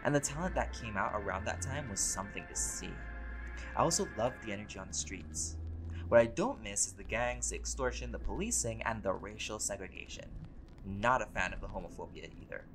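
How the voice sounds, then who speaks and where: A man acts out a laid-back male vampire who has lived for centuries, in an intimate one-sided audio roleplay. His voice sits fairly low and he chats with easy, scholarly wit and a playful teasing edge. Music plays clearly behind him. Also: fairly quick, low-quality recording. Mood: musing, wry. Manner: relaxed, conversational, wryly playful. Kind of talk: conversational audio roleplay to an unseen listener.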